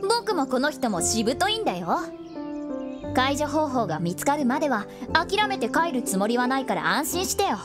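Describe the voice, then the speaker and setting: A woman's voice: high voice, very animated, normal speed, long reverb tail, loud music behind the voice. A woman playing a cheeky, talkative young male sidekick in a fantasy audio drama, breezy and teasing. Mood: breezy, reassuring.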